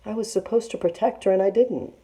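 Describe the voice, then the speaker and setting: Woman as a clever big sister, raised pitch. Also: brisk, mellow, close to an everyday voice. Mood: sorrowful. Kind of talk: children's storybook read-aloud.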